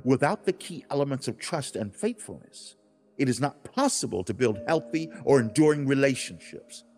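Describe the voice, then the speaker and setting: A man delivers a dramatized religious audiobook in the role of God as a loving but wounded father. His mid-pitched voice is solemn and emphatic, preaching in formal, weighty phrases. Music plays clearly underneath the narration. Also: big pitch swings, normal speed, darkish tone.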